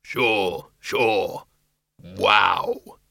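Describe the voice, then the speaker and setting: Guy putting on a big hairy bogeyman for a storybook, low voice, real slow n amiable, dry close sound. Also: creaky, pushed and bright, no echo, full character voice. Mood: proudly eager. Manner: slow, easygoing, eager. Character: huge hairy friendly male bogeyman, slow, amiable, newly confident. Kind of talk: audiobook character voice.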